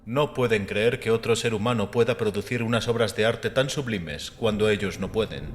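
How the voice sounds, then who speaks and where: Man playing a low, scornful, self-assured stranger. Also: natural voice. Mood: cynical, assured. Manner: measured, formal, archaic address, mocking. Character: mysterious male guest, self-assured, scornful skeptic of faith. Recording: background music under voice.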